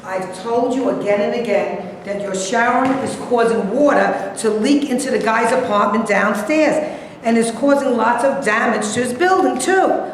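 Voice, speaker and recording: A woman's voice: on the high side, moderate pace, a little hiss or hum, natural voice. A woman playing a blunt, accusing, dismissive apartment building super in a staged play reading. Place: indoor live staged reading. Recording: very echoey hall from a distance.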